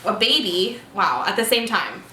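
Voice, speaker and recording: A woman in her twenties from California, hosting a casual Q&A chat, rambling and quick to laugh. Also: mid-range voice, animated, sharp tone. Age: 20s. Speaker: vlogger host. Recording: roomy echo, faint noise.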